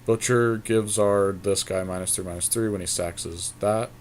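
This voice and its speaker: A man giving casual commentary on a card game as he plays, thinking aloud in an unhurried way. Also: on the low side, fairly even intonation, slight background noise. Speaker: podcast host and player.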